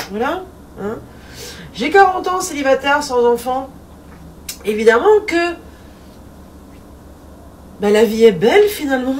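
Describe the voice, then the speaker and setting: Forties woman, fairly high voice, laughing and chatty, recorded off-mic with light background noise. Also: darkish tone, distant mic. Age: 40s. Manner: playful and chatty, repeats a refrain, laughs often. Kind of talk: casual monologue.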